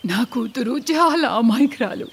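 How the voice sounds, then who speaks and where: A woman's voice: a little high, expressive, a little slow, breathy edge, exaggerated voice. A woman voicing a grieving, pleading village mother in a cartoon story. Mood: tearful pleading.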